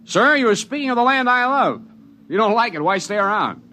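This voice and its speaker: Excitable mountain climber in a 50s radio play, bright and bouncy. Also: male voice, extremely high pitch, brisk, full character voice. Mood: bantering. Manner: excitable and bantering. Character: lanky, eager mountain climber. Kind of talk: 1950s radio drama acting.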